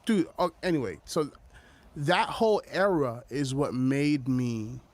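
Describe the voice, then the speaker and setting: Guy on a beat podcast, rambles a lot, lots of uh and like, mid pitch. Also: measured pace, a little mellow, dry recording. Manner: rambling, searching for names with many fillers. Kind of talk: casual chat.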